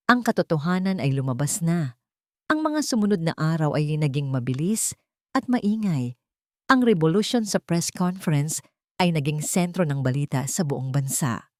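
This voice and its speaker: A woman narrates a dramatic story. Her voice sits fairly low and swings widely in pitch. The recording is close and dry. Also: a little mellow, dry recording.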